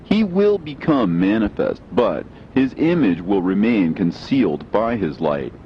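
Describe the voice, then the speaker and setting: A man giving a solemn, measured, declamatory reading of scripture. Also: big pitch swings. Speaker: reader. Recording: dull lo-fi with faint low rumble.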